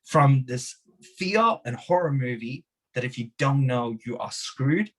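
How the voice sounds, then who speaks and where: Husky Hungarian-accented man talking with passion. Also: medium pitch, expressive, a little slow. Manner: passionate, swears for emphasis, repeats his motto. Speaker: podcast guest. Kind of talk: motivational interview talk.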